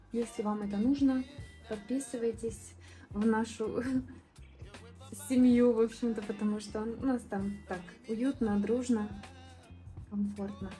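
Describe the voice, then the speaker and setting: Young woman from southern Moldova, art vlogger, mellow tone, relaxed and rambling delivery. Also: mid-range voice. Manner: relaxed, rambling, many fillers and restarts. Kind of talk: casual painting vlog voice-over.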